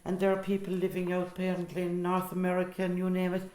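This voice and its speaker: Deep-voiced older Irish woman. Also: monotone, fast, a little mellow, roomy sound. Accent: Irish, County Cork. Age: older, a grandmother. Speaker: amateur radio drama cast member. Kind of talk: casual interview chat.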